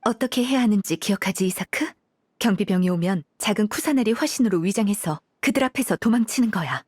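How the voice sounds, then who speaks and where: A steady female leader, firm and reassuring, with a husky mid-range voice. It is game dialogue, recorded close and dry. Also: medium pitch, fairly quick, dry recording. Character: steady, encouraging female leader coaching a child.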